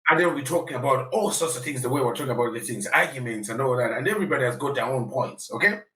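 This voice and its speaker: A man co-hosting a podcast, telling a story in an animated, laughing way. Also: medium pitch, quick pace, far from the mic. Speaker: podcast co-host. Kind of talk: casual storytelling. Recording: echoey room, off mic.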